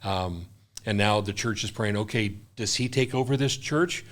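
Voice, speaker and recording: A man's voice: lowish voice, normal speed, fairly bright tone. An older man, a missionary guest, talking earnestly in casual conversation, often restarting his sentences.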